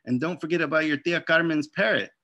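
A man voicing a gentle but firm mother who soothes and scolds her daughter, over a video call. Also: on the high side, fast, highs cut off, voice pushed up.

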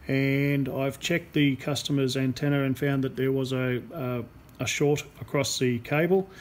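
A man explaining a hands-on radio repair as a technician, unscripted, with a halting delivery and long pauses. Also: mid-range voice, a little mellow. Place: indoor workbench in a repair workshop. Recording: clear background noise, workshop ambience. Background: workshop room noise.

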